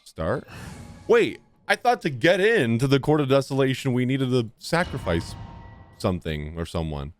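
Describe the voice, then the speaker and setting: A man's voice: mid-range voice, very animated, normal speed. A man giving casual, enthusiastic, slangy gameplay commentary as a streamer. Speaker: game streamer. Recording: game music behind voice. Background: game music.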